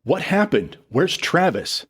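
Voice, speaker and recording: A man reads the part of a fed-up woman in an audio story. The voice sits a little above his usual low one, with a firm, insistent manner. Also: sing-song, slow, fairly bright tone, slightly rough, heavily acted. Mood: alarmed.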